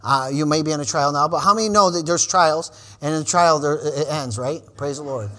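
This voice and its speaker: A man in his forties preaching a sermon, calling out "amen" and "praise the Lord" between lines and building to shouted, repeated refrains. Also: on the high side, brisk. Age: 40s. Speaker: pastor.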